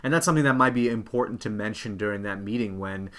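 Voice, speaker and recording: Male career-coach host, lowish pitch, explanatory delivery straight to viewers. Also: quick pace, fairly bright tone. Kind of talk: instructional presenting to camera.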